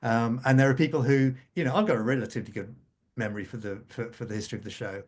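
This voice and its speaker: A man's voice: mid-range voice, fast talker, a little mellow. A man talking conversationally as a scriptwriter on a panel, repeating words for emphasis. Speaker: radio drama scriptwriter, panel guest.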